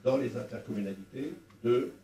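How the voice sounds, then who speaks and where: An older man explaining things at a public debate in a halting, didactic way, speaking into a handheld microphone. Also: mid-range voice, mellow. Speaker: former local councillor, panel speaker. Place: small wood-walled meeting room at a public event. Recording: roomy, distant sound with faint noise.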